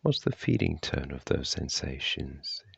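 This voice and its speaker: Very deep, creaky male meditation guide. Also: very animated, dry recording, muffled recording. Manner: gentle, unhurried, measured phrasing. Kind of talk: guided body scan meditation, slow instructions with long pauses.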